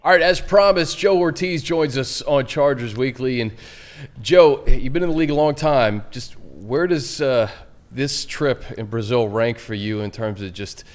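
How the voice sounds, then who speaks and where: A man's voice: medium pitch, normal speed. A man hosting a sports podcast, introducing a guest and asking questions. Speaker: podcast host.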